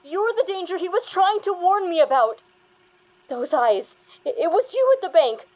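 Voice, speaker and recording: A woman acts a young female therapy patient with a high voice. The manner is earnest and hesitant, with an anxious, wary streak. It is played naturally, as audio drama. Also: animated, normal speed, a little mellow. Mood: frightened, accusing. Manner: hesitant, earnest, stammering under pressure.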